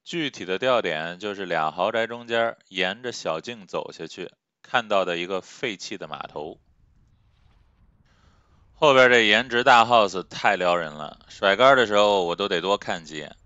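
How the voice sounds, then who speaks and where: A man's voice: fairly low pitch, normal speed, clear, resonant tone, no echo, muffled recording. A man narrating his fishing vlog in a dry, joking tone. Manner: wry, joking, deadpan asides. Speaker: fishing vlogger. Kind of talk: humorous voice-over narration.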